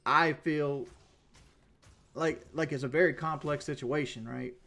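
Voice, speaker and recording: A man's voice: fairly high pitch, fairly even intonation, unhurried, gravelly, bright, forceful tone. A man chatting casually on a livestream, rambling and blunt, swearing freely and repeating himself as he talks to his viewers. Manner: repeats himself and restarts often.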